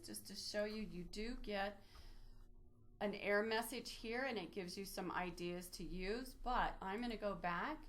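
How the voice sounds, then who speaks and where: A woman giving an informal, unscripted instructional walkthrough, explaining things step by step as she demonstrates on screen. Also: medium pitch. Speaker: librarian giving a database tutorial.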